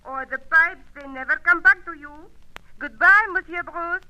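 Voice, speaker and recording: High, piercing French femme fatale. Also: female voice, moderate pace, pushed and bright, heavily acted. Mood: teasing, insistent. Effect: telephone voice. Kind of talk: vintage radio adventure serial acting. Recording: thin lo-fi telephone-line sound.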